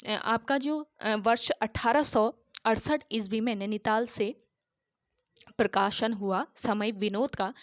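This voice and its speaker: A woman tutor with a sore throat teaching an exam-prep lesson, repeating each key answer slowly so students can note it down. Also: mid-range voice, no room sound, clean studio sound.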